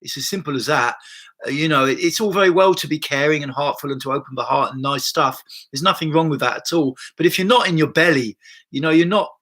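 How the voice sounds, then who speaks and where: Bright-voiced man chatting on a livestream. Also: fairly high pitch, quick pace. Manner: rambling, loose and conversational with frequent restarts and swearing. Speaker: body-based therapist hosting a livestream. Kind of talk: casual livestream chat answering viewer comments. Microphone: laptop mic.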